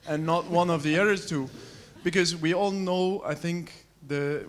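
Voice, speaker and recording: A man in the seminar audience arguing a point and asking a direct question of the panel. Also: on the high side.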